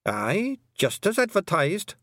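A man's voice: sits high, huge pitch swings, takes it slowly, creaky, fairly bright tone, no room sound, clean studio sound, voice pushed up, exaggerated voice. A man voicing a cheerful, scrappy little pixie warrior with a hearty, folksy manner and a Scottish accent.

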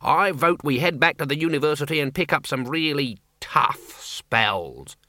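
A man's voice: fairly high pitch, lively intonation, moderate pace, bright, forceful tone, no echo, clean studio sound. A man doing a fretful fussy old wizard.

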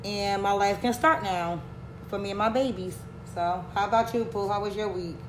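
A woman's voice: medium pitch, moderate pace, a little hiss or hum. Blunt, outspoken woman in an echoey room. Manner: blunt, swears freely. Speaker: podcast co-host. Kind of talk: casual podcast chat.

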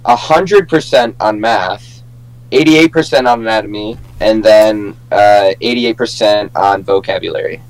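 A man's voice: lowish voice, unhurried, rough recording. A young man co-hosting a podcast, chatting casually and telling stories among friends. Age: young adult. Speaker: podcast co-host.

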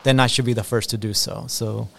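An earnest man in his thirties, originally from Ecuador, answers interview questions on a school podcast. He speaks at an ordinary pitch for a man, repeating phrases and filling pauses with ums. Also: fairly even intonation, creaky, a bit bright. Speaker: assistant principal.

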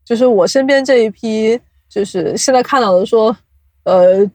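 A woman's voice: a little high, normal speed, warm tone, dry recording. A woman explaining at length, with examples, in a casual podcast interview as an invited guest. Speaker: guest, audio drama producer.

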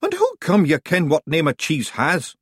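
A man voicing a tiny, tough male fairy chief with a Scottish accent, blunt and gruff. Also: a little high, wildly swooping pitch, normal speed, no room sound, clean studio sound, voice pushed up, exaggerated voice.

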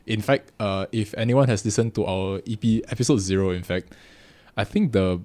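A man's voice: on the low side, moderate pace, darkish tone. A man with a Singaporean accent co-hosting a casual podcast, rambling and often correcting himself as he goes. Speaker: podcast co-host.

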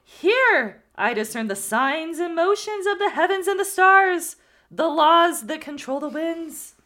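A woman's voice: high-pitched, fairly bright tone, pitched above the performer's own voice, heavily acted. A woman voicing a pompous trapped man who boasts grandly from inside a sack, declaiming in old-fashioned speech. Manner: grandly theatrical, archaic declaiming.

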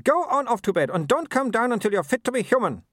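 High shrill werewolf baroness, snobbish, scornful, lively swoops, dry audiobook sound. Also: male voice, fast, no room sound, exaggerated voice. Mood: scolding. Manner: sharp, scornful, imperious.

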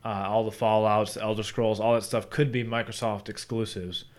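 A man's voice: on the low side, level tone, moderate pace. A man co-hosting a casual chat, jokey and teasing, steering the conversation along. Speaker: podcast co-host.

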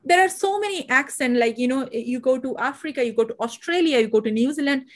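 Indian woman host, hesitant, high voice. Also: lively intonation, quick pace. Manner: hesitant, many fillers and restarts. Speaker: podcast host. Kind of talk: conversational interview.

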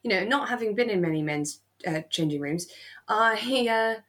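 A woman's voice: medium pitch, normal speed, fairly bright tone. A woman co-hosting a casual podcast chat, musing and wondering aloud in a reflective way. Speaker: podcast co-host. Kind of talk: casual discussion of a radio drama.

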